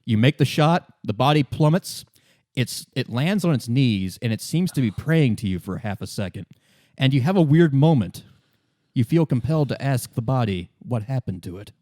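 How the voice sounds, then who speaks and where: A man's voice: mid-range voice, animated, normal speed, dark tone, dry recording, studio quality. A man running a tabletop game as game master, narrating casually and often restarting his sentences.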